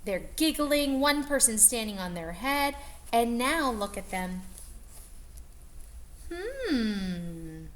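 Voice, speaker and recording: A woman reading aloud to young children as a teacher, expressively, pausing to explain and ask them questions. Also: on the high side, very animated.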